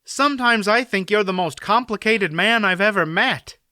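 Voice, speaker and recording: A man voicing a nervous teenage boy who falters, in a raised bright tone. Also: high-pitched, normal speed, no room sound, clean studio sound, voice pushed up. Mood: flustered. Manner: startled, faltering.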